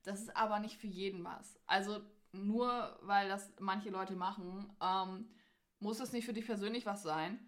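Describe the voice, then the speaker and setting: A young adult woman co-hosting a podcast in casual, expressive and giggly conversation. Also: medium pitch, unhurried. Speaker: podcast co-host.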